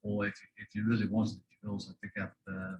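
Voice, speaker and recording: An Australian man asking an aviation guest technical questions, soft-spoken and hesitant with many "uh" and "um". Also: lowish voice, little pitch movement, quick pace, slight background noise. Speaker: audience questioner.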